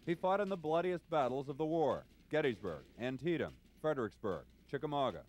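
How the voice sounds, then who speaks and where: A man's voice: on the high side, lively intonation, brisk, darkish tone. A man narrating a scripted television news feature as a reporter.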